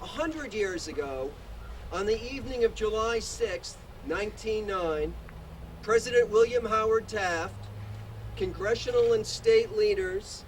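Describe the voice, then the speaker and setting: Loud-voiced man reading history at a podium. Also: high-pitched, expressive. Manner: measured, reads historical dates and details. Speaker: museum director. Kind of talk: formal outdoor commemorative speech. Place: outdoors at a podium in a park. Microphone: podium microphone, distant. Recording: distant podium mic, outdoor traffic hum.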